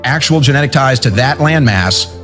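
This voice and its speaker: A man on a discussion panel, reading out a list quickly and forcefully. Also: mid-range voice, lively intonation, faint music behind. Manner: fast and forceful.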